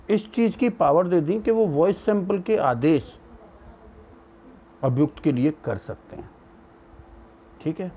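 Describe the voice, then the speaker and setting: A man's voice: on the high side, dark tone, slight background noise. A man giving an informal legal lecture, explaining step by step and often checking that he is followed. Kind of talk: informal legal lecture with English terms mixed in.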